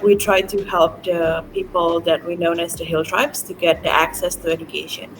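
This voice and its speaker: A woman teacher from Indonesia being interviewed on a podcast, explaining things clearly. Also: on the low side, background noise. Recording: music clearly behind voice.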